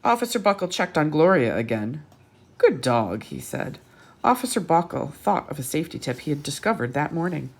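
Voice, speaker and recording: Animated, husky-voiced woman reading to children. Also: low voice. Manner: expressive, pausing for asides and questions to young listeners. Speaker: children's storytime host. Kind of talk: animated picture-book read-aloud for children.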